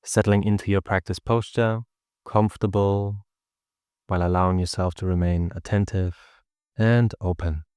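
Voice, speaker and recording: Low, smooth male meditation guide. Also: no room sound, studio quality. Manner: calm, measured, instructional delivery with pauses. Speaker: meditation teacher.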